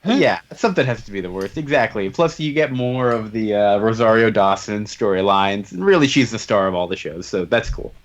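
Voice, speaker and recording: A man's voice: lowish voice, animated, fairly quick, few pauses, dull audio. A man hosting a comic book podcast, chatting casually and jokily with lots of fillers. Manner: laid-back, jokey, rambling with many fillers. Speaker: podcast host. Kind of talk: casual chat.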